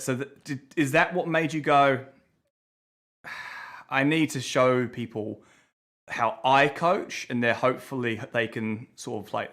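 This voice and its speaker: A man hosting a relaxed conversational show, chatty and loose, often restarting his sentences. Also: mid-range voice. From from abroad, living in New Zealand. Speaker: podcast host. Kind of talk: casual chat.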